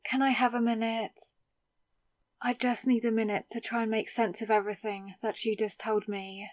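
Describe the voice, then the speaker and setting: A woman playing a newly turned vampire, sarcastic and rattled, in a conversational, exasperated audio roleplay with hesitant pauses. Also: on the high side, no echo. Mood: apologetic, overwhelmed. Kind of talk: scripted ASMR audio roleplay.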